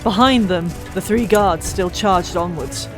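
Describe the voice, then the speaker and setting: A woman's voice: fairly low pitch, measured pace. A woman narrating a fantasy audiobook in a dramatic, theatrical storytelling style. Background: music.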